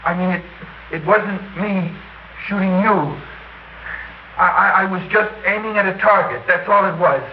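Man playing high-voiced, faltering wounded American gunner. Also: moderate pace, close to an everyday voice. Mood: remorseful. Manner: blunt, faltering, breaking off phrases. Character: wounded American helicopter gunner, helpless, bitter, scared young man. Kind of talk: classic radio drama acting. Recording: dull, roomy, noisy old radio recording.

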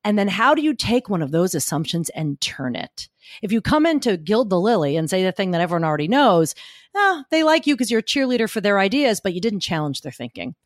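A woman guest with a gruff, gritty voice, talking emphatically and animatedly in a podcast interview. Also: mid-range voice, brisk, dry recording, clean studio sound. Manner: emphatic, argumentative, animated storytelling. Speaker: guest speaker coach.

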